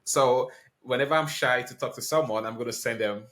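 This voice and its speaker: A man's voice: medium pitch, brisk, fairly bright tone. A man hosting a podcast interview, asking questions politely with many ums and restarts. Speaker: podcast host.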